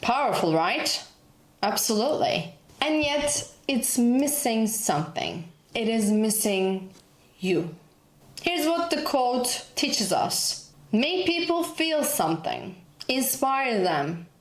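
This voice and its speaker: Woman, thirties, Turkish accent, unhurried and emphatic, echoey room. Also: a little high, big pitch swings. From Turkey. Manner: earnest, emphatic, repeats for emphasis. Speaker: personal branding coach. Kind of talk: motivational talk to camera.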